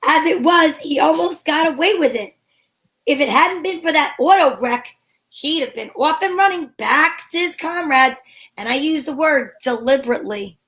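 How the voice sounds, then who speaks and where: A woman's voice: high-pitched, expressive, moderate pace, fairly bright tone. A woman playing a sassy, self-assured female private detective in an old-time radio drama, with wry delivery.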